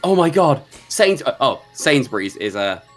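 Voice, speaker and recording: A man's voice: mid-range voice, moderate pace, faint music behind. A man with a British accent, chatting casually and swearing freely on a livestream. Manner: animated, sweary.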